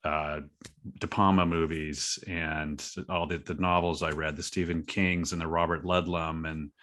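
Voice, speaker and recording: A man's voice: rumbling low, little pitch movement, unhurried, fairly bright tone. A man talking casually and earnestly as a guest in an interview, in a reflective way. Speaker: podcast guest, writer.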